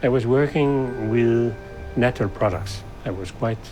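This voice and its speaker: A man's voice: mid-range voice, a little slow, darkish tone, poor audio. Older Danish man over music. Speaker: scientist interviewee. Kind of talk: recollective interview storytelling.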